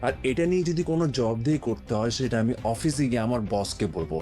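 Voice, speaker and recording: A male actor plays a dismissive Bangladeshi office man in a TV drama. His voice is mellow and swings widely in pitch, with faint music behind. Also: lively intonation, fast talker, lightly acted. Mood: defiant. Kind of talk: TV drama acting.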